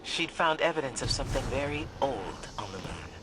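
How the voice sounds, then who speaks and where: A man playing a solemn, worried senior leader in a video game, speaking in a measured, serious way. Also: medium pitch, big pitch swings, noisy background, lightly acted. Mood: solemn regret. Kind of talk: dramatic video game cutscene dialogue.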